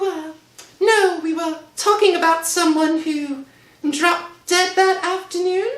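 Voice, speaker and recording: Woman as evasive young wife, pleading, very high, unhurried, old-time radio acting, echoey. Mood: anxious. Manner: pleading, brushing things off. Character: evasive, insistent young wife, protective and nervous. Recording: echoey room sound.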